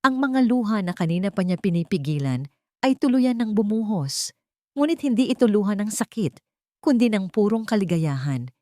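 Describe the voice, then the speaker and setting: A woman narrating a scripted dramatic audiobook story with measured, dramatic phrasing. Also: medium pitch, big pitch swings, warm tone, no echo, studio quality.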